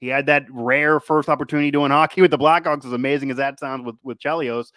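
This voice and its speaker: Guy hosting a casual sports podcast, kinda rambly, average pitch, really dry sound. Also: fairly even intonation, fast, fairly bright tone, dry recording. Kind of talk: casual sports talk and interview.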